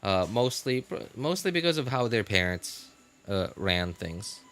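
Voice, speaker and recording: A woman chatting casually on a gaming livestream, in a halting, rambling way that often repeats and rephrases. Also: rumbling low, big pitch swings. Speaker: video game livestreamer.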